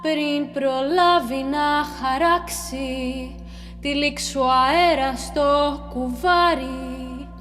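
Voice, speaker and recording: A solemn chorus woman of a stage tragedy, played by an actress, with a high voice. She recites the myth slowly and incantatorily, weighing each poetic line over faint music. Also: fairly bright tone, long reverb tail, exaggerated voice. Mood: lyrical. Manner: measured, incantatory. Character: solemn female chorus figure telling a tragic myth.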